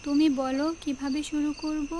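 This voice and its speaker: High-pitched eager young village wife by a woman. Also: flat delivery, normal speed, dark tone, close to an everyday voice. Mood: earnest, eager. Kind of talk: cartoon story dialogue. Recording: noisy outdoor ambience, birds and crickets.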